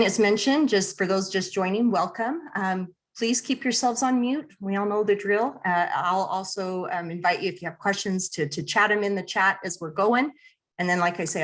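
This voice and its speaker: A woman presenting a webinar over a video call, speaking in a friendly, conversational way with fillers and restarts, her voice slightly hoarse and clearing her throat. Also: mid-range voice. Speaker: nonprofit area director presenting a webinar. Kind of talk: online presentation to attendees.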